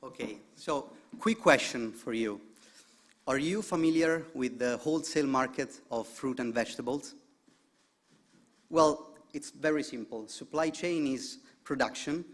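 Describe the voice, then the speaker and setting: A man from Italy, giving a direct pitch presentation and asking the audience questions. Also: a little slow. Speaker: student presenter.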